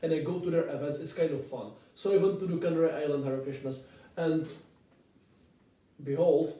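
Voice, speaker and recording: Echoey, dark-voiced Czech-accented man. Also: on the high side, normal speed. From Czech Republic. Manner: informal, repetitive, swears casually. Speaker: vlogger. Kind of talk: rambling casual storytelling to camera. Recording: very echoey room, distant microphone.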